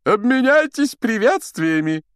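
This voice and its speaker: A showy, self-satisfied wizard professor. The voice sits high and swoops extravagantly. Every phrase is pompous, theatrical and carefully over-enunciated. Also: male voice, wildly swooping pitch, unhurried, no room sound, studio quality. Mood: commanding, showy. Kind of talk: audiobook character acting.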